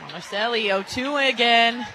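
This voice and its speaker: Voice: medium pitch, normal speed, pushed and bright, a faint crowd, a little hiss or hum. A radio color commentator in a stadium booth, chatting and musing about the game and laughing along with the play-by-play caller. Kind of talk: casual booth banter on live sports radio.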